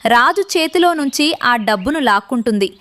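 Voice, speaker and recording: A woman's voice: sits high, lively intonation, normal speed, a bit bright. A woman narrating a scripted children's moral story.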